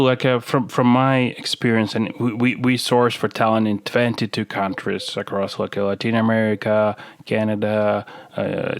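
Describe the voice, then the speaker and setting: A man's voice: on the low side, dry recording. Hesitant male podcast host, dry sound. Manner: hesitant, repeats words, many fillers like "uh" and "like". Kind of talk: interview questions, casual.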